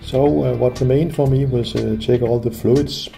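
Methodical male voice-over with a gravelly edge, steady mid pitch, music running underneath. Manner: calm, methodical, frequent "uh" pauses. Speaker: classic car owner explaining repairs. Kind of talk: narrated garage repair vlog.

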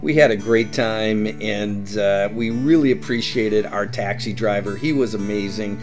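A man's voice: fairly low pitch, unhurried, faint music behind. A middle-aged man hosting a travel vlog, giving upbeat tips and often saying "that's right". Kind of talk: enthusiastic travel guide narration.

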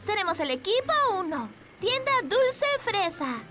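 Animated, very high cartoon girl. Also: unhurried, heavily acted. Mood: upbeat, encouraging. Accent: Latin American. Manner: animated, swooping, eager. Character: cheerful, bossy-kind young cartoon girl, upbeat leader. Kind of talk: Spanish-language children's cartoon dub. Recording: children's music behind the voice.

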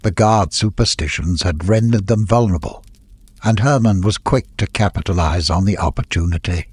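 A man's voice: low voice, normal speed, darkish tone, breathy edge. A man reading scripted fantasy story narration as an audiobook narrator.

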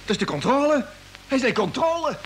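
An actor playing an excitable, good-natured spaceship radio operator who stammers and repeats himself, in old Dutch radio drama style. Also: male voice, very high-pitched, fast talker. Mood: excited. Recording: hissy vintage radio play recording.

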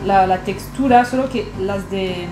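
A woman's voice: medium pitch, measured pace, background noise. A young woman, a native French speaker with a French accent, chatting casually as a guest in a makeup video. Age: young adult. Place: small bright bedroom, indoors. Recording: echoey room with background music.

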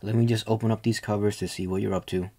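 A young man playing a tender, doting boyfriend in a soft ASMR roleplay, gentle, coaxing and reassuring, with a breathy voice often near a whisper. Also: on the low side, steady tone, fast talker. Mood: teasing, puzzled.

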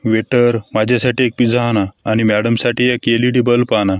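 A man's voice: medium pitch, level tone, moderate pace, slight background noise, close to an everyday voice. A man playing ordinary put-upon men in comic cartoon skit dialogue. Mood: dry, joking.

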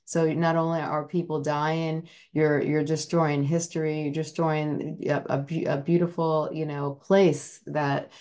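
A woman's voice: sits low, little pitch movement, a little slow, hardly any pauses, a little mellow. A woman hosting a podcast interview, speaking earnestly with fillers over a video call. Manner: brief backchannel replies, then earnest rambling. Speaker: podcast host. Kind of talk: casual interview.

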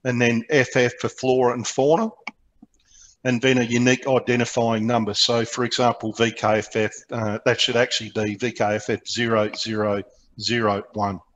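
A man's voice: mid-range voice, little pitch movement, moderate pace, fairly bright tone, muffled recording, a little hiss or hum. An Australian man giving an informal, explanatory slide presentation over a video call, with frequent hesitations as he goes. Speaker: presenter, amateur radio programme coordinator. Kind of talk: slide presentation to a club meeting. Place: remote video meeting, speaking from indoors.

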